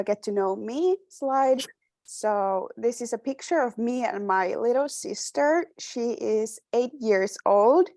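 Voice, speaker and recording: A woman's voice: medium pitch, darkish tone, no room sound. A woman in her twenties from Finland, whose first language is Finnish, giving an informal slide talk in a Finnish accent with many fillers and restarts. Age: 20s. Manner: hesitant, many fillers and restarts, light laughter. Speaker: presenter.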